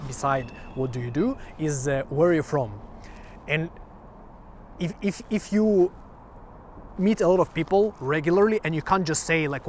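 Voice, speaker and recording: A man's voice: fairly high pitch, a little hiss or hum. A man from Finland talking casually to camera, rambling with restarts and small laughs between points. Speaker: vlogger.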